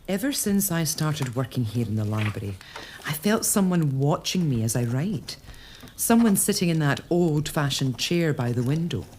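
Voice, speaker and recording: An actress playing a composed early-1800s lady of a castle, speaking in a measured, gently defiant way. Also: deep voice, slight background noise. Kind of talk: spoken monologue to a ghost.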